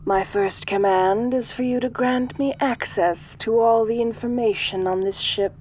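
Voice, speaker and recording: A woman voicing an ancient, godlike alien in a soft-voiced audio roleplay, sinister, seductive and imperious, speaking formally and silkily. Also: a little high, fairly even intonation, a little hiss or hum, close to an everyday voice, poor audio. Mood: commanding, pleased. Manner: slow, imperious, formal, silky.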